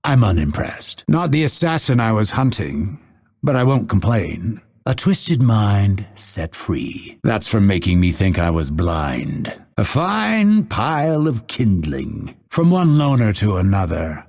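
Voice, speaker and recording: An actor playing a proud, cold, vengeful assassin in a video game, with a husky voice and measured, haughty, menacing delivery. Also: male voice, lowish voice, sing-song, darkish tone, heavily acted. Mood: disdainful menace. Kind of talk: scripted game voice lines, theatrical delivery.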